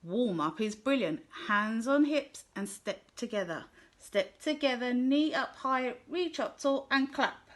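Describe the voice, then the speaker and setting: A woman reading a children's picture book aloud in a gentle, clear storytelling manner. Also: fairly high pitch, expressive, a little slow. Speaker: storybook reader.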